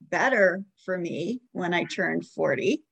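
A woman's voice: lowish voice, animated, slow, gravelly, fairly bright tone, slightly rough. An American woman in her forties hosting a podcast, interviewing in a chatty, affirming, conversational way. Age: 40s. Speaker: podcast host.

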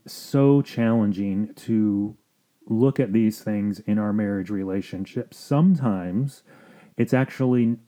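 A man's voice: on the low side, dark tone. A man speaking as a counselor hosting a podcast, explaining things informally in an earnest, reflective way. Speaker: marriage counselor and podcast host.

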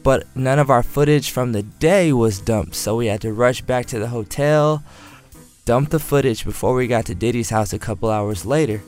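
Animated man telling a story. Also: mid-range voice, moderate pace, faint music behind. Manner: animated, self-deprecating, acts out quotes. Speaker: cinematographer guest. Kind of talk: casual storytelling.

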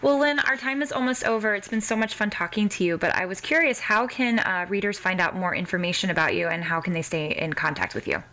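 A woman's voice: mid-range voice, animated, fast talker, few pauses, bright, forceful tone. A woman hosting a podcast in a casual, chatty and effusive style. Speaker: podcast host.

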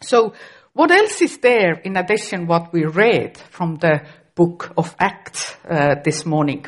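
A woman's voice: on the low side, animated, slow. A middle-aged woman from Estonia, a native Estonian speaker with an Estonian accent, preaching a sermon carefully and with occasional restarts.

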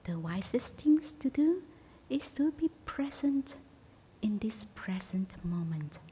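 A woman meditation teacher guiding a session in a hushed, breathy, near-whispered voice, in short phrases with gentle pauses. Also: big pitch swings, poor audio. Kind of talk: guided meditation. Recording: faint rumbly background noise.

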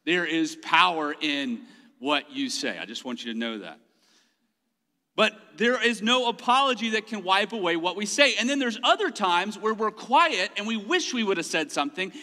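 Male pastor, higher voice, very bright and forceful tone, self-mocking humor, echoing sanctuary. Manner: humorous self-deprecating storytelling; laughs often mid-sentence. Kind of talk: sermon. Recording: long hall reverb.

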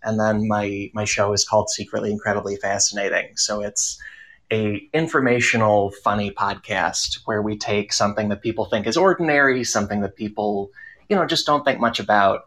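A man's voice: on the low side, steady tone, normal speed, a bit bright, low-quality recording. A man speaking casually as a podcaster on a panel. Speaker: podcaster and comedy writer. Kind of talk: casual self-introduction on a panel.

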